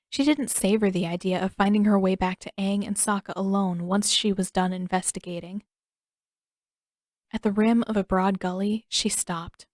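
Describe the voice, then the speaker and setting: A woman reading a scripted story as an audiobook narrator, measured and expressive in her storytelling. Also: medium pitch, dry recording, studio quality.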